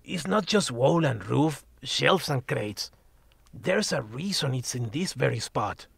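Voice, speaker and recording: A man voicing a sardonic magical talking cat who mentors the family, blunt and lecturing, husky in tone. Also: mid-range voice, expressive, takes it slowly, fairly bright tone, dry recording, exaggerated voice. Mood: wry. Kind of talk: audio drama acting.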